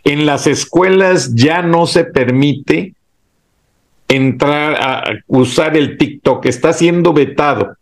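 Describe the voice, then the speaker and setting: A male host explains current events in a steady, informative manner. His voice sits a little higher than most men's. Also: slow. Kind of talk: casual political chat.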